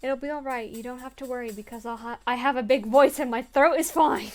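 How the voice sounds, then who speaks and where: A woman's voice: high voice, fairly quick, soft background music. A young woman playing through a story game, reading the text aloud earnestly and breaking off into crude, swearing jokes.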